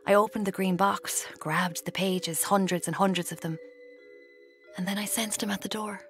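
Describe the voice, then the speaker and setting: An actress playing a cold woman in her twenties, narrating her confession in a clipped, deliberate way in a radio drama. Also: mid-range voice, fairly even intonation, soft background music, close to an everyday voice. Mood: cold, intense. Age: 20s. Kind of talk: scripted first-person drama narration.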